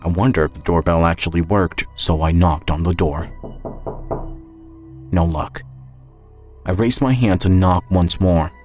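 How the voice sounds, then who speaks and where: Male narrator for suspense audio fiction, low register, faint music underneath. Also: unhurried, pauses often, darkish tone. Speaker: writer and narrator of an audio story. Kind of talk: first-person scripted suspense narration.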